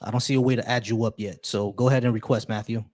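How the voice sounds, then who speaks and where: A man chats loosely on a live stream, welcoming viewers and trailing into little restarts. His voice sits at an average pitch for a man. The tone stays casual and unhurried. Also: fairly even intonation. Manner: laid-back, shout-outs to viewers, many restarts. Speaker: livestream host.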